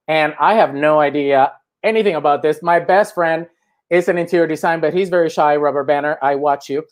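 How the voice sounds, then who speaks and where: A man hosting a live-streamed talk show, excitable and gushing, with lots of fillers. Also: sits high.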